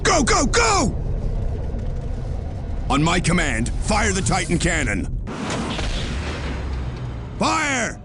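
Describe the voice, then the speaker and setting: An actor playing a defiant, fanatical military governor in a war game, barking orders in a gravelly voice. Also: male voice, sits high, wildly swooping pitch, very slow, long pauses, heavily acted. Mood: urgent command. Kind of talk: video game voice acting. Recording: battlefield noise behind voice.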